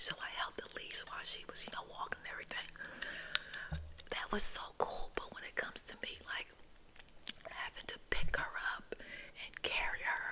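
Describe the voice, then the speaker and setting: An older woman, a grandmother, whispering a casual story to her viewers, chatty and laughing often, repeating her words. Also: pushed and bright. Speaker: vlogger.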